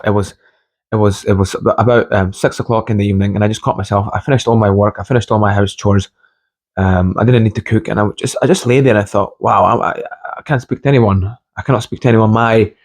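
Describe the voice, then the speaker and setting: Low-voiced young man, halting motivational talk. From Scotland and Hungary. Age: young adult. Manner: halting, repeats phrases for emphasis. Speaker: motivational video host. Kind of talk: informal motivational monologue with personal stories.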